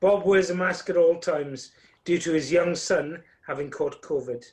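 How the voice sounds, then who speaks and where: A man narrating a scripted radio play in a wry, measured storytelling manner. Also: on the high side, darkish tone, breathy edge.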